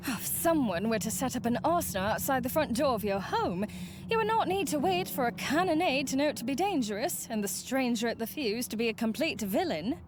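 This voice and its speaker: A woman playing an earnest, fearful mortal friend in a fantasy game, with dramatic, breathy phrasing and formal, archaic wording. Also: high voice, expressive, brisk, noisy background. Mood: dread, vehement. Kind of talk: dramatic visual novel voice acting. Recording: music playing behind the voice.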